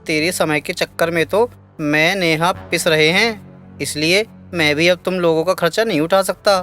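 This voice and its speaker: A man voicing a blunt, resentful grown son in an animated family drama. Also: on the high side, normal speed, soft background music, natural voice.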